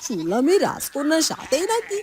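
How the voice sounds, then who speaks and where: A woman performs an expressive female storybook voice for a fairy-tale cartoon. It is high and swoops in pitch, over clear background noise. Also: brisk. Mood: hushed, gossipy.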